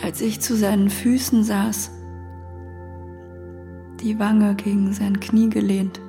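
An actress playing a grieving, bitter woman abandoned by her lover, in a halting radio-play monologue that often sinks towards a whisper. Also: medium pitch, level tone, dark tone, faint music behind. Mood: anguished.

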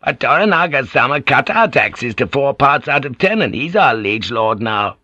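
A man's voice: mid-range voice, very animated, normal speed, sharp tone, no room sound, dull audio, voice pushed up. A man voicing an anxious fisherman villager with a whining, pleading voice. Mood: worried pleading. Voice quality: whiny. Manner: pleading, worried.

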